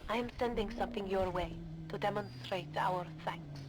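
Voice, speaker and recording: A woman's voice: mid-range voice, noisy background, natural voice. An actress playing a solemn, grateful female guardian of children in a video game, speaking formally. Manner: formal, measured.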